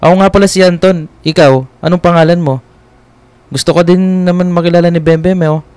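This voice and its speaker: Actor as a friendly, hesitant young Filipino man for radio romance, smooth voice, close dry sound. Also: high voice, steady tone, a little fast, a little mellow, no echo, close to an everyday voice. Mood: shy, friendly. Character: polite, shy, friendly young man in a love story. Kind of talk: radio drama acting.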